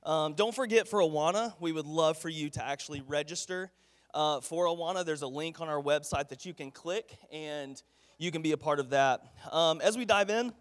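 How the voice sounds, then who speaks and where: A man's voice: fairly high pitch, normal speed. A man preaching a folksy, earnest sermon while congested with a head cold. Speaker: pastor. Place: church sanctuary. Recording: echoey church hall.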